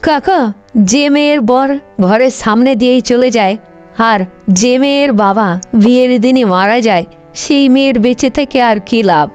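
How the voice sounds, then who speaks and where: Casting: woman as anxious young bride, high plaintive voice, pleading cartoon delivery, soft music behind. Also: a little slow, lightly acted. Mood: despairing. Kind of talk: cartoon story voice acting.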